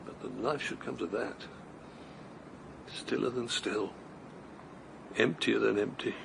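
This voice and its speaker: An actor playing an older husband on a pilgrimage walk, speaking in a gentle, musing, wondering way. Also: male voice, fairly low pitch, slow, creaky, noisy background, heavily acted. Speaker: man on a long walk, husband. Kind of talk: radio drama acting.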